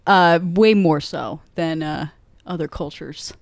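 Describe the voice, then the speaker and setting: A woman's voice: deep voice, slow pace, gravelly, no room sound. Giggly young American woman, dry sound. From United States. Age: 20s. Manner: giggly, playful, self-mocking. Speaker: podcast guest. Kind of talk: casual chat.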